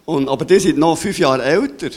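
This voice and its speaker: Man, swiss german accent, pastor, church service, chatty halting questions, slightly high voice. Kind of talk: church service interview and prayer.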